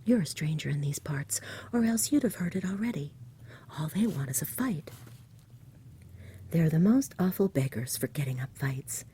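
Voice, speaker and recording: A woman voicing an earnest, impatient young village boy in a soft, whispery ASMR storybook reading. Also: deep voice, expressive, normal speed, a little hiss or hum. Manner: earnest, pleading.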